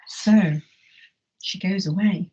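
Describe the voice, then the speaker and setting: A middle-aged woman with an English accent reads a story to schoolchildren, then gently poses questions. Her voice has a slightly husky edge and sits mid-range. The sound is a little muffled, like a lo-fi online lesson stream. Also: medium pitch, slow. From Wales. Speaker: teacher. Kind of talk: reading a picture book aloud and teaching children. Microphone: live online lesson mic.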